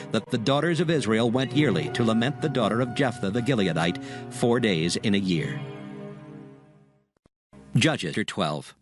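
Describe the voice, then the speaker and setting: A man narrating dramatized scripture in a solemn, formal, measured storytelling manner. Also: mid-range voice.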